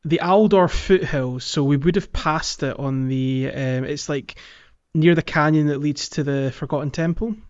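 A man's voice: on the high side, moderate pace, hardly any pauses. Man podcast host with dull, muffled sound. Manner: explanatory, guiding the conversation with questions. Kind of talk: casual game walkthrough chat.

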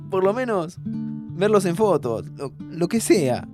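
An actor playing a dying young man from Buenos Aires, playful and hopeful, talking informally and haltingly to his friend in an audio drama. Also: high voice, exaggerated voice. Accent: Argentine, Buenos Aires. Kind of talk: naturalistic audio fiction acting.

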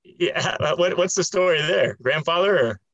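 A man's voice: on the high side, animated, measured pace, fairly bright tone, dry recording, muffled recording. A man hosting an interview, who also does some commentating, talking in long, rambling questions full of fillers. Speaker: podcast host and occasional commentator.

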